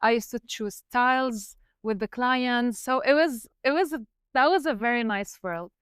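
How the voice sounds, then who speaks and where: Woman from lebanon in her 30s, smooth voice, explains things slowly with lots of ums, dry room. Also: on the high side, fairly bright tone, no room sound. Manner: explanatory, measured, with fillers. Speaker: guest researcher. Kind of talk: podcast interview.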